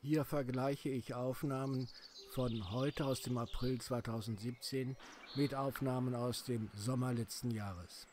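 A middle-aged man calmly narrating a documentary travel video as its host. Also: medium pitch, measured pace, slight background noise. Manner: calm, explanatory.

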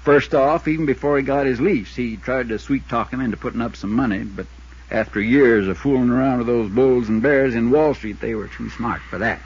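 A man's voice: medium pitch, slightly rough. Folksy insurance man, muffled old radio. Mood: wry, explanatory. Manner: folksy, drawn-out storytelling. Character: folksy, long-winded small-town Arizona insurance agent. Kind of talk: 1950s radio drama acting. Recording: muffled 1950s radio recording.

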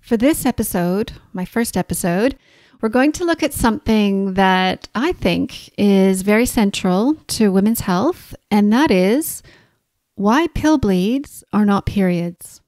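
Calm Canadian woman explaining health topics. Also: medium pitch, slow pace, no echo, clean studio sound. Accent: Canadian, with some Australian. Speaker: podcast host, naturopathic doctor.

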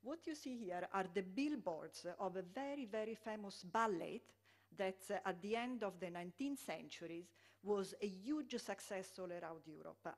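A middle-aged Italian woman with an Italian accent giving a conference lecture, often pausing on fillers and restarting her sentences. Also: medium pitch. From Italy. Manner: hesitant, many fillers and restarts. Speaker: public health lecturer.

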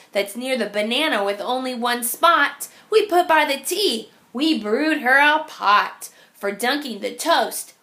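A woman with a high voice reads a picture book to small children. Her delivery is very slow and sing-song, with a noticeable creak in the voice. She places each phrase deliberately, as a teacher would. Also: pushed and bright. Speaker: teacher reading aloud. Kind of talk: picture-book read-aloud for young children.

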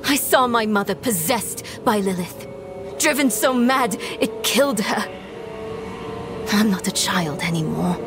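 A determined young apprentice in a fantasy game. She has a medium-pitched voice, earnest and direct, with music clearly behind her. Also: lively intonation, unhurried. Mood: pained, resolute. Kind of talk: dramatic fantasy game acting.

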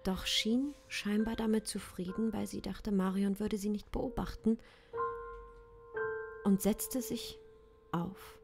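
A young woman improvising story narration in a hushed, measured, suspenseful way, close on a studio microphone. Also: medium pitch, steady tone, vocal fry, music in the background. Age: young adult. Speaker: improv audio drama narrator. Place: small home studio, indoors.

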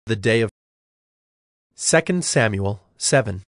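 A man's voice: fairly low pitch, expressive, slow pace, no room sound. Dry-sounding male scripture narrator.

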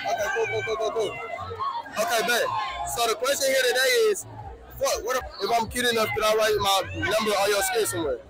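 A man's voice: high-pitched, steady tone, a little fast, heavy background noise. A young man doing a casual street interview at a crowded beach party, laid-back, repeating himself as he talks. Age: young adult. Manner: laid-back, repeats "all right, bet". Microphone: handheld mic. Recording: loud crowd and music behind.

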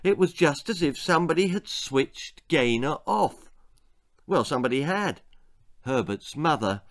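A man in his sixties reading a children's story dramatically, with an expressive, measured, suspenseful delivery. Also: fairly high pitch. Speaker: author reading own story. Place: small book-lined room at home. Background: music.

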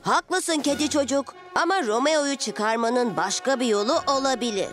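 An earnest little boy hero, played by a woman, with a very high voice. He sounds thoughtful and determined in cartoon style, with music behind him. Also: normal speed, close to an everyday voice. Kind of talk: animated cartoon dubbing.

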